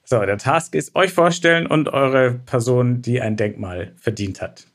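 Ein Mann, der als Gastgeber locker durch ein Podcast-Gespräch führt. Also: male voice, medium pitch, unhurried. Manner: friendly, chatty moderating. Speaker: podcast host. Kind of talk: casual podcast interview.

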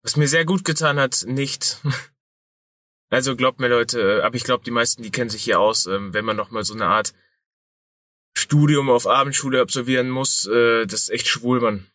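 A podcast co-host with a head cold talks in a bright, forceful voice. His chat rambles, loose and full of crude slang. The sound is dry and close, with no room around it. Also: mid-range voice, moderate pace, dry recording. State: has a cold.

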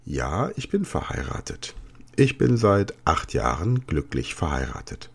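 A native German man leads a language lesson podcast, explaining the method and then tossing off playful practice answers. His voice swings widely and expressively in pitch while he keeps the lesson moving. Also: measured pace, vocal fry, breathy edge. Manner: lively, jokey asides in answers. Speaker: language teacher and podcast host.

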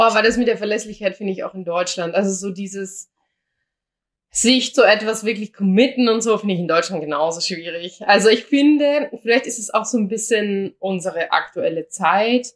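Chatty young woman podcaster. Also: medium pitch. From Austria. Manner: chatty, anecdotal, acts out quoted dialogue. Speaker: podcast co-host. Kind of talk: casual podcast chat.

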